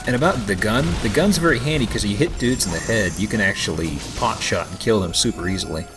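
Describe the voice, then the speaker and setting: Low-voiced man rambling over game music. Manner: rambling, joking, halting asides. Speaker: let's play host. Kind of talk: casual game commentary. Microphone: voice-over microphone over game audio.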